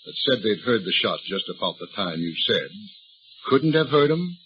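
An actor playing a shrewd, folksy older police detective, drawling and calmly needling a suspect in a 1930s radio drama. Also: male voice, on the low side, moderate pace. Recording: dull old radio recording with faint hiss.

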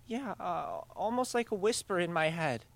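An earnest young boy of about twelve played by a woman speaks in an audio drama. The voice swings widely in pitch, with hesitant, stammering phrasing and a stubborn, apologetic streak. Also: animated, normal speed. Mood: hesitant, unsure. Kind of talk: student audio drama acting.

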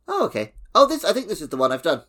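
A man's voice: sits high, wildly swooping pitch, rapid-fire, pushed and bright. A man co-hosting a gaming podcast, chatting in an informal, conversational way. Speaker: podcast co-host. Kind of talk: casual video game discussion.

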